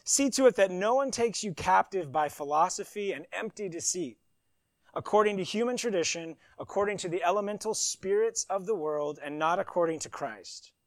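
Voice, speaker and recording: A man's voice: high-pitched, normal speed, no echo. A man preaching a sermon, reading scripture with emphasis and breaking into conversational asides. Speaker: pastor.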